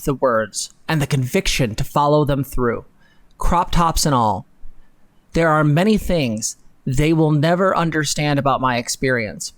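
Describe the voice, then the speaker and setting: A young adult livestream co-host speaking bluntly and emphatically into a close desk mic, sarcastic and casually sweary. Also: medium pitch. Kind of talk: dramatic reading and opinionated reaction commentary. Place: small home room, indoors. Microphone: close desk microphone on boom arm.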